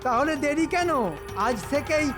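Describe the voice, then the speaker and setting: An actor voicing a scheming, easily frightened royal minister in a Bengali-style cartoon, with a theatrical, exclamatory delivery. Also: male voice, very high-pitched, a little slow, music in the background. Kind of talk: cartoon voice acting.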